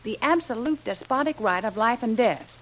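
A woman's voice: fairly high pitch, expressive, normal speed, a bit bright. An actress playing a tough, sardonic, wisecracking woman spy in a slangy, sarcastic style of 1940s radio adventure drama. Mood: cool, businesslike.

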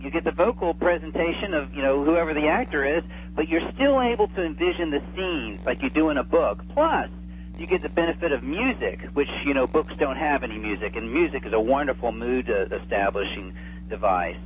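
A man's voice: a little high, animated, a little fast. A man speaking as an enthusiastic interview guest, chatting casually and at length. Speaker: interview guest, old-time radio enthusiast. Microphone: telephone. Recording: tinny phone line, faint hum.

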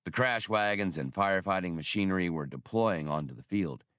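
Man reading as a wry, low-voiced veteran officer. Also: a little fast, a bit bright, clear, resonant tone, no room sound, studio quality. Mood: wry, reminiscing. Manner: wry, mild storytelling. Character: seasoned, easygoing male ex-officer telling old war stories.

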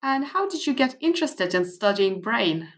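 A woman's voice: a little high, very animated, normal speed. A woman interviewer asking questions with curious interest.

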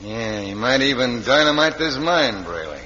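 A man's voice: mid-range voice, sing-song, moderate pace, sharp tone. An actor playing a villain's callous henchman with an American accent in an old radio western. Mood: conniving. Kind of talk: 1950s radio western acting. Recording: dull, noisy old radio recording.

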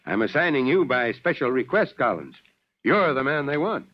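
A man's voice: medium pitch, sing-song, moderate pace, close to an everyday voice. An actor playing a commanding yet fatherly fire chief in a 1940s radio drama. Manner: barking orders, then fatherly. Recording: dull old radio recording.

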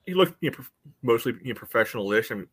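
A man's voice: mid-range voice, expressive, rapid-fire, a bit bright, husky, no room sound. A man giving casual sports analysis on a podcast, rambling along and peppering it with filler phrases. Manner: rambling, peppered with "you know".

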